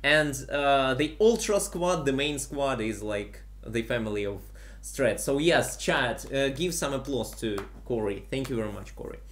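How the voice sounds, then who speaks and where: Male host, slow and hesitant, lots of fillers. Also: medium pitch, animated, fairly bright tone. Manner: halting, many fillers, frequent laughs. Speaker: stream host. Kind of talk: casual live-stream chat.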